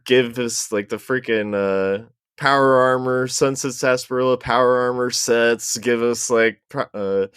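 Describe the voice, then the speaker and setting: A man's voice: medium pitch, fairly even intonation, unhurried, fairly bright tone, clear, resonant tone, dry recording, studio quality. A man chatting casually as a panel guest, in a laid-back, slangy and somewhat hesitant way. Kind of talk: casual chat.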